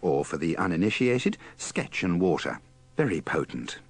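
A man's voice: deep voice, sing-song, normal speed, creaky. A man presenting a compilation in scripted, humorous narration with wry, dry asides.

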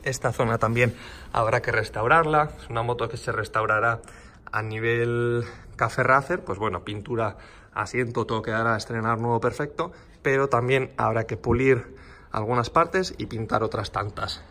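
Bright-voiced man explaining a build. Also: medium pitch, moderate pace. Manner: explanatory, chatty, with restarts. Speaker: motorcycle customizer. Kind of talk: casual walkthrough. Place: motorcycle workshop, indoors. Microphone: handheld phone camera.

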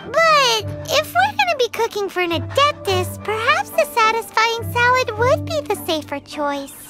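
A woman voicing a tiny, chatty, excitable childlike fairy companion in a video game. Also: measured pace, exaggerated voice. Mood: excited, chatty. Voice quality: squeaky, childlike. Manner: exclamatory, refers to herself in third person. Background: game music.

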